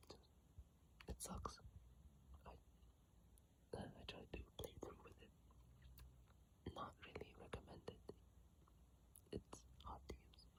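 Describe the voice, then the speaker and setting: An ASMR creator whispering in a breathy, halting, informal way, commenting on games close to a phone mic. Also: poor audio. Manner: slow, hushed, halting and informal. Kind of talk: whispered ASMR reading and rambling game commentary. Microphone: iPhone mic, held close.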